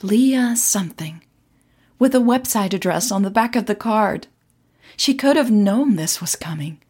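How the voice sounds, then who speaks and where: A woman narrating scripted audio fiction as a podcast storyteller, in a measured, dramatic way. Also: mid-range voice, animated, a little mellow, breathy edge.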